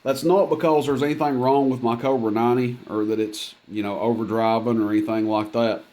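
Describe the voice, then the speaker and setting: A man's voice: medium pitch, fairly even intonation, a little fast, darkish tone. A man giving a casual hands-on equipment demonstration in a plainspoken, folksy, matter-of-fact way. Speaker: CB radio seller demonstrating equipment.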